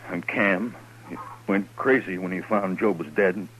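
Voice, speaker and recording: An actor playing a troubled, guilt-ridden American man of the Old West in a radio western, halting and earnest. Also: sits low, steady tone, fairly bright tone, breathy edge, exaggerated voice. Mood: haunted, confessing. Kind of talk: 1950s radio western acting. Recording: faintly noisy old radio recording.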